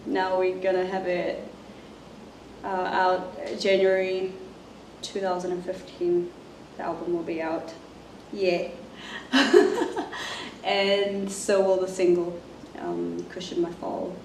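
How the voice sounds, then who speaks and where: A woman from Zimbabwe, introducing herself as a musician in a soft, halting way, with many fillers. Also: medium pitch. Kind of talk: casual self-introduction to camera. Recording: roomy, distant mic, faint noise.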